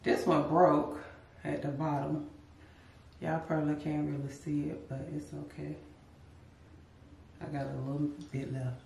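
A woman's voice: sits low, unhurried, gravelly, pauses often, slight background noise. A woman, chatting casually to camera at home in a vlog. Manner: relaxed, chatty, addresses viewers as y'all. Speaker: lifestyle vlogger. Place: bedroom at home, later a car. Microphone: camera a few feet away. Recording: roomy echo, distant from the mic.

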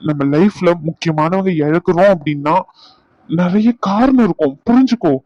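A man's voice: sits high, normal speed, warm tone, dry recording, lightly acted. A middle-aged man acting as an uncle who scolds and coaxes his stubborn niece. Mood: coaxing. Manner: scolding, then coaxing. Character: stern but caring middle-aged uncle. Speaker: uncle of the young woman. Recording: dull lo-fi recording.

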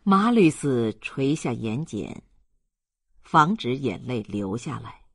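Male novel narrator, expressive and wide-ranging, very dry close sound. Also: mid-range voice, takes it slowly, no echo. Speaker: audiobook narrator. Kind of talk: dramatic scripted novel narration.

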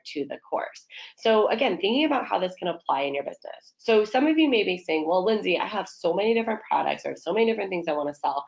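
A woman with a low voice and a slight gravelly fry teaches a marketing webinar. She talks conversationally, asks rhetorical questions and tags on "right?". The audio is a little dull and lo-fi. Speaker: marketing trainer. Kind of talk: webinar teaching over slides.

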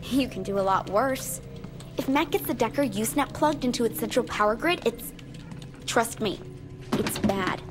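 A woman playing a paranoid, deadpan young computer hacker in a video game, talking in a dry, matter-of-fact way. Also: fairly high pitch, moderate pace, natural voice. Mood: urgent, dry. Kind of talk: video game cutscene acting. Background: background music.

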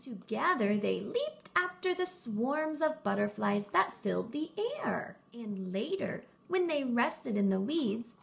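A woman reads aloud for young children. Her voice is high and very lively, with some creak. She phrases things slowly and emphatically. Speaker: storybook reader for children. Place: room at home.

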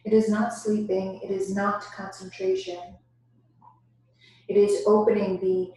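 A woman leading a guided yoga nidra meditation as instructor, giving deliberate instructions broken by pauses. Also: mid-range voice, little pitch movement, mellow. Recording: very echoey room, distant microphone.

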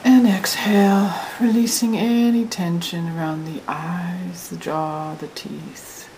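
Female meditation guide: low-pitched, soothing, very unhurried, breathy near-whisper, trance-induction delivery. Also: poor audio. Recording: hissy recording with steady noise.

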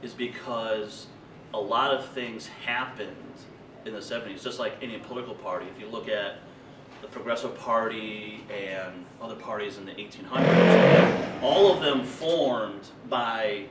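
A man in his thirties from rural Illinois, speaking in an animated, loose conversational way at a workshop table in a meeting room. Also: fairly low pitch, a little slow. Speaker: invited speaker. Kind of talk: conference workshop talk. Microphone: far camera. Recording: echoey room from far off, noisy.

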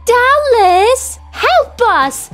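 A woman's voice: very high-pitched, sing-song, very slow, fairly bright tone, heavily acted. A woman voicing bratty, squabbling villain underlings in an amateur comedic cartoon redub, theatrical and exclamatory. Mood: panicked, whiny. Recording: music behind the voice.

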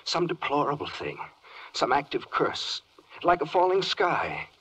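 Casting: fussy, panicky cosmic official for rhymed radio comedy; husky, wildly varied pitch, dithering delivery. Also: male voice, sing-song, unhurried, fairly bright tone, heavily acted. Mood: fretful musing. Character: flustered, fretful, fussy cosmic official man. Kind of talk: 1940s radio comedy acting. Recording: dull, lo-fi 1940s radio recording.

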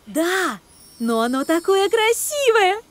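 A woman's voice: extremely high pitch, very animated, slow, heavily acted. A woman voicing a sweet, anxious schoolgirl in a children's cartoon. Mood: pleading. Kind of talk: animated children's cartoon acting.

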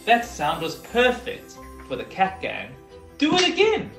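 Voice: medium pitch, big pitch swings, unhurried, vocal fry, fairly bright tone, reverberant, faint music behind, full character voice. A storybook reader voicing a cheerful, encouraging female cat who coaxes and exclaims. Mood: enthusiastic.

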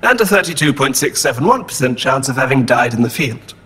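A man's voice: mid-range voice, sing-song, a little fast, fairly bright tone, slightly rough. A man playing a polite, literal-minded robot companion in an audio drama, speaking precisely and formally. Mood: earnest, matter-of-fact.

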